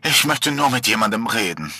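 A man's voice: mid-range voice, very animated, brisk, pushed and bright, slightly rough, no echo, soft background music, voice pushed up, heavily acted. A man voicing an eerie, sweet young woman from a horror story, playful and coy. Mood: friendly, coy.

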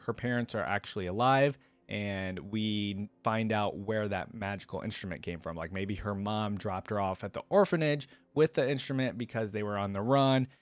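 A man's voice: fairly low pitch, no echo. A young man gives casual, rambling reaction commentary as a video host, talking informally into a desk microphone. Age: young adult. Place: indoor room at a desk. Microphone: close desktop microphone, dry.

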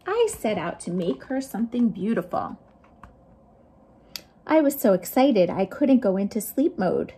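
A woman's voice: mid-range voice, very animated, measured pace, pauses often, mellow, slight background noise. A middle-aged woman hosting a children's storytime, reading picture books expressively and chatting to young listeners with questions and asides. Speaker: youth librarian hosting storytime. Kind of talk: children's picture book read-aloud.

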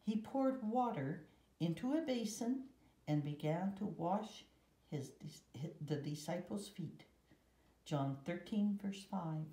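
A woman reading a children's Bible picture book aloud with measured, deliberate phrasing and pauses, recorded indoors. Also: fairly low pitch, lively intonation, dark tone, reverberant. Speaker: storybook reader.

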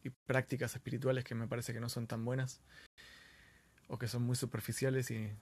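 A man's voice: mid-range voice, level tone, fairly quick, no room sound. A man with an Argentine Spanish accent, talking casually and earnestly as the host of a live stream, often repeating words for emphasis.

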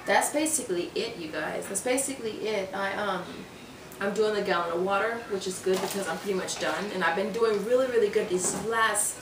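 A woman's voice: mid-range voice, a little fast. A young woman vlogging casually about meal prep in her home kitchen, chatting with lots of fillers and restarts. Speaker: fitness vlogger. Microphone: camera on counter a few feet away. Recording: roomy, distant, noisy camera audio.

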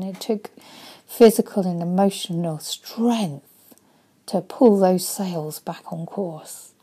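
Woman life coach, mellow low voice, breathy half-whisper, home webcam. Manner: earnest, soft-spoken, often breathy and whispery. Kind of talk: motivational vlog talk. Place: living room at home.

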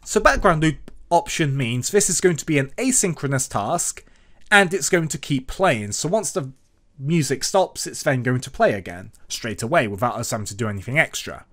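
A man presenting a software tutorial, explaining things step by step in an instructional narration. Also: medium pitch, lively intonation, normal speed. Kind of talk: scripted-sounding screen-recorded programming tutorial narration. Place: voice-over for a screen recording.

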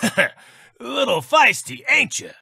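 A man's voice: extremely high pitch, big pitch swings, a little slow, vocal fry, sharp tone, husky, no echo, studio quality, heavily acted. An actor playing a chatty, teasing old male store attendant in a visual novel, with folksy colloquial speech. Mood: teasing, storytelling.

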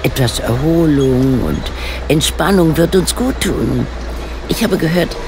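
A shrewd older lady detective in a radio play, with a gravelly, creaky voice that moves in lively swings. She is bossy, genteel and very sure of herself, with clear background noise around her. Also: low voice, measured pace, heavily acted. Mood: composed, decided. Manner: formal, self-assured, genteel phrasing. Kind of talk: German radio play dialogue. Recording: clear vehicle and ambience noise.

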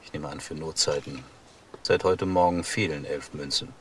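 An actor playing a calculating, sardonic husband in a crime drama, speaking in a cool, dryly taunting manner. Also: male voice, extremely low pitch, moderate pace. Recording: noisy archive crime drama audio.

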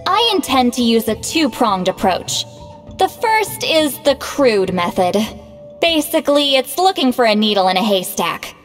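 Proud female anime game shaman, highish voice, exasperated lecturing, long reverb, music behind. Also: very animated, unhurried. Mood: confident, instructive. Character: proud, bossy, youthful-sounding female shaman called Granny.

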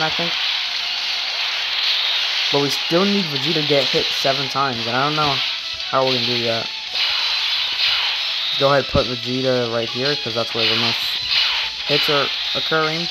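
A woman's voice: rumbling low, heavy background noise. A woman casually commentating a mobile game, thinking aloud as she plays and calling out "bro". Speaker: gaming channel host. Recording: loud music behind the voice. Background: loud background music.

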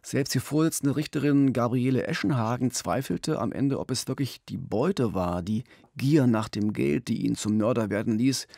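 A middle-aged man tells a crime story in conversational podcast style. His medium-pitched voice has a noticeable fry, and the studio recording is very dry. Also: normal speed, few pauses, mellow, dry recording. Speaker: podcast co-host, crime reporter. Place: small radio studio. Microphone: studio microphone with pop filter, headphones.

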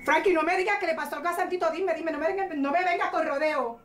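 An actress playing a distraught young wife who has just learned her husband was shot, talking fast through tears. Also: very high-pitched, echoey room, a little hiss or hum. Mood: frantic. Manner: frantic, pleading, sobbing. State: crying.

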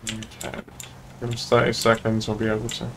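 A young British man playing a calm police officer in a streamed roleplay game, polite, clipped and deadpan. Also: lowish voice, fairly quick, gravelly, a little hiss or hum. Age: young adult.

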